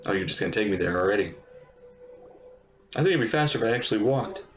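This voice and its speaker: A man's voice: fairly low pitch, expressive, fast talker, slight background noise. A man giving casual, blunt live commentary as a streamer reacting to a game.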